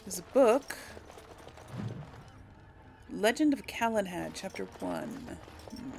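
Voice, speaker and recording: A woman's voice: medium pitch, huge pitch swings, takes it slowly, a little mellow, soft background music. A woman hosting a casual video game let's play, thinking aloud as she explores, with faint game sound behind her.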